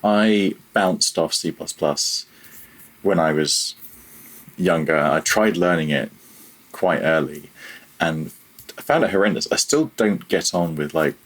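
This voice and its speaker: A man's voice: deep voice, animated, a little slow, long pauses, a bit bright, rough recording. A man talking casually as an interview guest, reflective and self-deprecating about himself. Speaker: podcast guest, freelance audio programmer.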